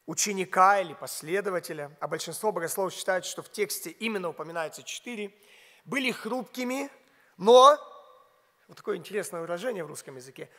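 Non-native pastor preaching, high voice, asks the crowd questions then goes quiet, big church reverb. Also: male voice. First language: not Russian. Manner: emphatic preaching with rhetorical questions, drops to a hush on key words. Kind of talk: sermon. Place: large church stage, behind a pulpit. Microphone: pulpit mic. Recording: long hall reverb.

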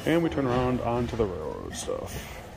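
A man's voice: mid-range voice, huge pitch swings, moderate pace, over music. A man casually chatting as a folksy vlogger while browsing an auction hall. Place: large indoor auction hall. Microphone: handheld camera or phone. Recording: noisy hall, handheld camera audio. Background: clear hall noise.

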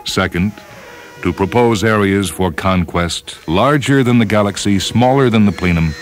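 A man reading a story as a dramatic narrator, with measured, solemn and deliberate phrasing. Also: on the low side. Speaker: narrator reading short fiction. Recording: music under the voice. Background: orchestral piano music.

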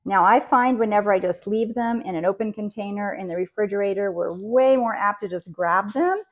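A woman's voice: medium pitch, a little fast, highs cut off. Woman, 40s, easygoing practical kitchen chat, home kitchen, lapel mic. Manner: friendly, practical, talks while working. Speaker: cooking channel host. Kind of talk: casual kitchen how-to.

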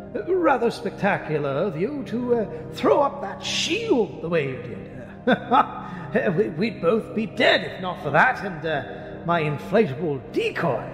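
A man playing a genteel, excitable steampunk inventor, old-fashioned, fussy and halting, in an audio drama. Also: sits high, exaggerated voice. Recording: echoey reverb with loud background audio.

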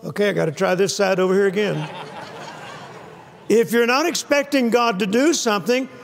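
A man's voice: high voice, moderate pace, gravelly, slight background noise. An older man preaching a sermon to a live audience on a church stage, emphatic and repeating key phrases, often calling for amens. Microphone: lapel mic. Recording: long hall reverb.

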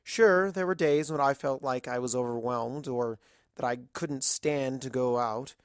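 A narrator reads a scripted story at a medium pitch. The sound is dry, with very little room in it. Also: unhurried, clear, resonant tone, dry recording. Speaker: fan fiction narrator.

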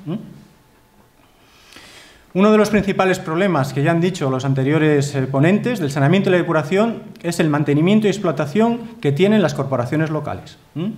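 A man's voice: fairly high pitch, a little mellow. A man in his thirties with a Spanish accent, giving a formal conference talk from a lectern in a lecture hall, earnest and emphatic, often adding tag questions. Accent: Spanish from Spain. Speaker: conference speaker. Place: wood-panelled lecture hall.

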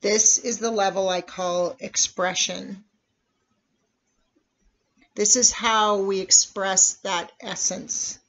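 A meditation guide speaking in a measured, instructional way with long pauses between phrases. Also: mid-range voice, fairly even intonation, fairly bright tone. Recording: dull, lo-fi recording.

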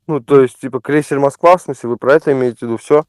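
Smooth-voiced casual man. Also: mid-range voice, very fast, a little mellow, dry recording, clean studio sound.

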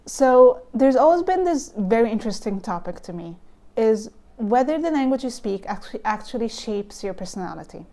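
Young egyptian woman, arabic accent, chatting to camera on a lapel mic at home, slightly high mellow voice, lots of ums. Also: big pitch swings, moderate pace, few pauses. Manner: nervous, thoughtful, with frequent ums and likes. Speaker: vlogger. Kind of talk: casual explainer vlog. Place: home room with bookshelves, indoors. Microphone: lapel mic, camera close.

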